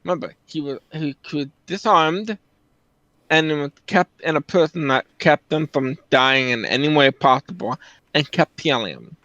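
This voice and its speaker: A man's voice: a little high, moderate pace, bright, forceful tone, dry recording. A man commenting casually on a game story, explaining it in conversation. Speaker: reaction video commentator. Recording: slightly dull, close dry sound.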